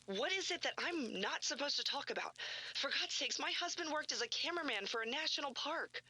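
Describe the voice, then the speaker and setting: An actress playing a distraught, defiant widow, emotional and insistent, in a found-footage horror drama. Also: female voice, high-pitched, animated, fairly quick, bright, forceful tone, poor audio. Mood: accusing, distraught.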